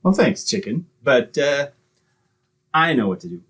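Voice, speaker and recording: A man acting a breezy, streetwise, cowardly schemer in an old-time radio comedy, wisecracking in slangy speech with a husky voice. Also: medium pitch, very animated, a little slow, noisy background. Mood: cocksure, scheming.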